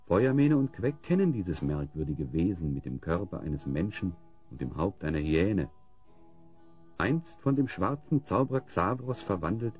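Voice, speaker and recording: A man's voice: deep voice, highs cut off. A man playing the solemn narrator of a fantasy audio drama, telling the tale in a theatrical, scripted style. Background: music.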